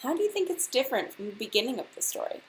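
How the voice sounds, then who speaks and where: A woman reading a children's storybook aloud like a teacher to a class, expressively and deliberately, putting questions straight to her young listeners. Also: a little high, very animated, slight background noise, low-quality recording.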